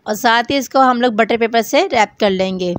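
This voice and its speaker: A woman with a Pakistani Urdu accent, hosting a home cooking channel, calmly explaining each recipe step in a voice-over. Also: fairly high pitch, moderate pace. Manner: calm, repeats each step.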